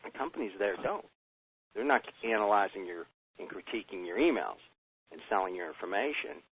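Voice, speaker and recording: An American man gives an emphatic business pitch on a conference call, asking himself rhetorical questions and answering them. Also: lively intonation, fairly quick, a little hiss or hum. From United States. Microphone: telephone. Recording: tinny phone line.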